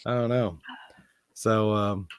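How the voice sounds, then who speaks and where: A man hosting a live online chat, hoarse from losing his voice and talking in a rambling, halting way. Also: lowish voice, steady tone, no room sound, studio quality. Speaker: host. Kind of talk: casual online hangout chat. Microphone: computer mic on a live video call.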